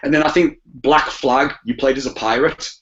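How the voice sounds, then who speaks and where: A man's voice: medium pitch, steady tone, moderate pace, fairly bright tone. A man chatting casually as a podcast guest, rambling and often repeating phrases as he feels his way through a thought. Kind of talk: casual chat. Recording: dull, muffled lo-fi podcast audio.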